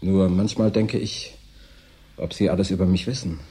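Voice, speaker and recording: A lonely, secretive worker in a German radio drama. His voice is low, mellow and heavily husky. He confides intensely, insisting on his own sanity. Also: fairly even intonation, normal speed.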